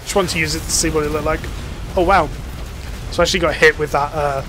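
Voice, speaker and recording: A man's voice: a little high, fairly even intonation, fast talker, over music. A young man casually commenting on his gameplay, explaining things with lots of fillers. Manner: chatty, explanatory, many fillers. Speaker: gaming YouTuber.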